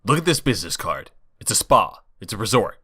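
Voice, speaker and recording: A man's voice: on the low side, big pitch swings, fairly quick, sharp tone, husky, full character voice. A man playing a worried male bartender, speaking in an earnest, admonishing way in a comic story reading. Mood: concerned, insistent.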